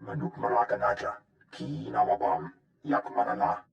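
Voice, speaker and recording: A man voicing a gruff, guttural alien creature speaking an invented tongue in a video game. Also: mid-range voice, steady tone, moderate pace. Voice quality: guttural, gravelly. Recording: dull muffled echoing game audio.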